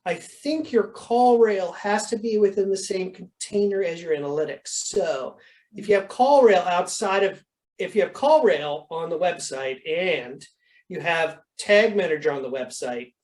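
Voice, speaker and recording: Instructor, mid-pitched, informal coaching Q&A on a video call, explanatory and conversational. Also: lively intonation, measured pace, darkish tone, rough recording. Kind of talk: informal question-and-answer coaching.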